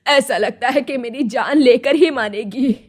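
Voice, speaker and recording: A woman's voice: high-pitched, a little slow, slightly rough. A woman as a plaintive, sickly old mother. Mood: sad, tender. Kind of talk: Hindi moral-story cartoon dub.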